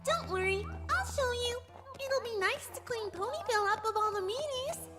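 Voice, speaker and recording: A woman voicing a bubbly, childlike female cartoon pony who is a sweetly sadistic killer, with a squeaky, girlish voice. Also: expressive, moderate pace. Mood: chirpy. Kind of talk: cartoon fan audio drama. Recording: loud music behind the voice.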